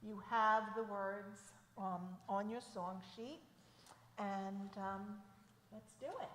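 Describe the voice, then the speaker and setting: A woman leads a synagogue service in an unhurried, measured voice. Her words ring out through an echoey sanctuary with a long reverb tail. Her pitch sits in an ordinary middle range. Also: a bit bright, a little hiss or hum. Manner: slow, measured reading of names. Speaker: service leader. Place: temple sanctuary.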